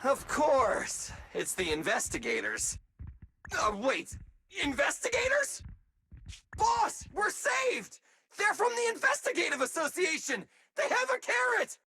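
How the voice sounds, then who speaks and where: A goofy, excitable male android gunslinger in a game cutscene. His delivery is lively and dramatic, sharp-edged with a crackly rasp, prone to whining and exclaiming. There is some background noise. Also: very high voice, measured pace, no echo, full character voice. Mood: excited. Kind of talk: animated game cutscene acting.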